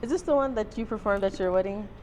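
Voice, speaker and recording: A woman's voice: medium pitch, fast talker, a little mellow. A woman hosting an interview, asking questions and backing the guest with many short affirmations. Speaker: host. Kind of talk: casual interview chat. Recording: faint background noise.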